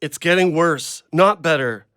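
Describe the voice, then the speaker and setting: Blunt, high-voiced ex-logger. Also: male voice, a little slow, pushed and bright, smooth, no room sound, studio quality. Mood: agitated, pleading. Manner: blunt, rambling, plain-spoken. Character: agitated, hard-up injured ex-logger devoted to his dog. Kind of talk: audio play acting.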